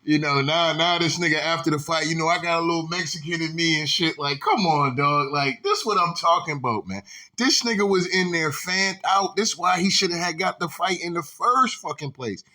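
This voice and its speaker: Guy on his channel ranting away, cussing, bright forceful voice, repeats himself a lot. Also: fairly high pitch, moderate pace. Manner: profane, repetitive, fired-up. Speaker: video host. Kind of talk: casual ranting commentary.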